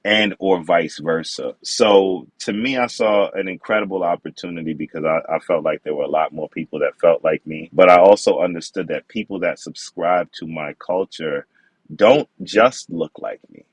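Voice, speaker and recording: A man's voice: low-pitched, normal speed, husky, no echo. A man from the Bronx, talking casually as a podcast guest. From the Bronx, New York. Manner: relaxed, conversational, slang-flavored. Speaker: podcast guest, wine expert. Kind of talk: casual interview talk.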